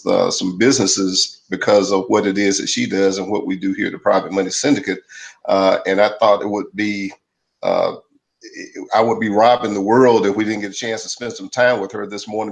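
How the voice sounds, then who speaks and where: A man's voice: lowish voice, creaky, low-quality recording. A man co-hosting a live show, speaking enthusiastically and rambling with many uhs. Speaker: co-host.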